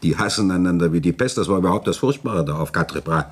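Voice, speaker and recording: Deep-voiced, courteous village notary. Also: male voice, lively intonation, fast, husky. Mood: confiding, explanatory. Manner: polite, formal, lawyerly wording. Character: courteous, talkative village notary, discreet yet gossipy. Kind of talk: German radio play acting.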